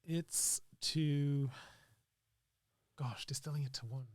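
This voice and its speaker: A man's voice: on the high side, fairly even intonation, slow, dry recording, studio quality. A man speaking as an interview guest in casual, reflective chat, earnestly thinking aloud in broken phrases. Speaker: interview guest, actor and screenwriter.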